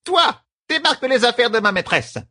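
A man's voice: very high-pitched, sing-song, moderate pace, bright, forceful tone, no room sound, studio quality, voice pushed up, heavily acted. A man voicing a stern, stubborn old woman servant in an audiobook, with an imperious, scolding manner. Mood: stern, bossy.

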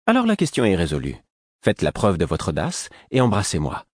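A man's voice: fairly low pitch, big pitch swings, normal speed, darkish tone, dry recording, clean studio sound, voice pushed up. A man voicing a brash young woman, a malicious rival with a sly, taunting manner, in an audiobook story.

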